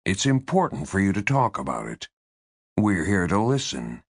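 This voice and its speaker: A man playing a controlled, insistent interrogator in an audiobook, speaking in a measured, tight, carefully enunciated way. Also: low voice, very animated, creaky, no echo, studio quality, full character voice. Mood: tightly controlled. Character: controlled, insistent male interrogator with strained patience.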